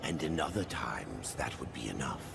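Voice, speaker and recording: A man voicing an ancient, cryptic male keeper of secrets in a dark fantasy game, theatrical and foreboding. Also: lively intonation, background noise, exaggerated voice. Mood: ominous. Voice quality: deep, gravelly. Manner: slow, theatrical, foreboding, swooping.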